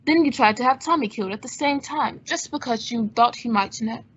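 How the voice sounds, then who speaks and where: A shrewd, unruffled gentleman sleuth acted by a woman speaks in a somewhat high voice. The delivery is wry and assured, as in an old radio mystery, on a dull recording. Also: brisk, slightly rough. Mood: accusing. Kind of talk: old-time radio drama acting. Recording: dull, muffled lo-fi audio.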